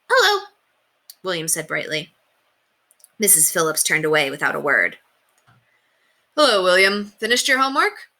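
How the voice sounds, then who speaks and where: A woman reads a children's story aloud in a fairly low but bright voice. Her narration is expressive, with lively rises and falls.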